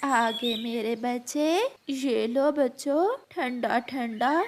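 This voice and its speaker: Woman as a high-voiced, gentle grandmother bird. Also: steady tone, takes it slowly, slight background noise. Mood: kindly. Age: older. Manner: gentle, motherly. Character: caring grandmother bird, gently instructing children. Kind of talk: animated children's moral story dialogue.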